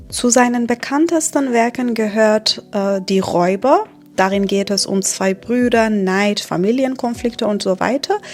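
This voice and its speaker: Slow, careful woman teaching German. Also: medium pitch. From Iran. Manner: careful, measured delivery with occasional hesitations. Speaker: German teacher and channel host. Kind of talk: slow, clear teaching narration for learners.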